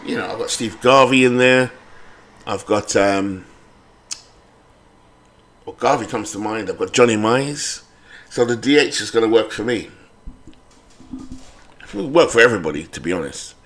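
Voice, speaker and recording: Man, British accent, lowish voice, casual rambling chat, fillers and restarts. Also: lively intonation, normal speed, pauses often, a bit bright. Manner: rambling, chatty, with fillers and self-corrections. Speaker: game channel host. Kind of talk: casual commentary over a baseball sim game.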